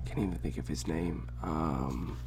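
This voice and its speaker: A man's voice: rumbling low, measured pace, creaky. A man chatting casually as a podcast guest, slangy and repeating phrases for emphasis. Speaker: podcast guest, content creator. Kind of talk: casual conversational chat.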